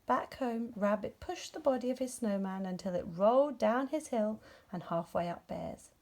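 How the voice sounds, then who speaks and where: A woman's voice: on the high side, moderate pace. Woman reading a children's storybook expressively. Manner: expressive, acts out dialogue. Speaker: storybook reader. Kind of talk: scripted children's story narration.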